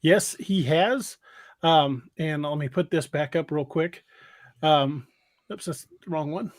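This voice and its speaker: Higher-voiced man hosting a casual livestream. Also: takes it slowly, no echo, clean studio sound. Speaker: podcast host and author.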